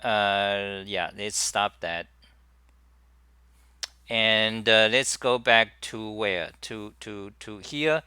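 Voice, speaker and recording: A middle-aged man chats casually on a live stream, stopping and restarting his sentences often. His voice is bright and forward, and the sound is dry and close, with almost no room around it. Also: on the low side, very slow, clear, resonant tone, dry recording. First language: Chinese. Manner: rambling with many restarts and fillers. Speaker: livestream host.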